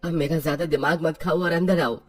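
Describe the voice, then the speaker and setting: A man's voice: fairly high pitch, steady tone, fairly quick, voice pushed up, natural voice. A man voicing a drunken, bullying young husband in a cartoon story, harsh, scolding and contemptuous. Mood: irritated, commanding.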